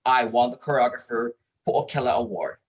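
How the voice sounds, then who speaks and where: A middle-aged or older man from Hawaii telling stories as an interview guest, expressive and given to repeating words for emphasis. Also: mid-range voice, fairly quick. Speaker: interview guest, performer and producer. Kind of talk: casual interview storytelling.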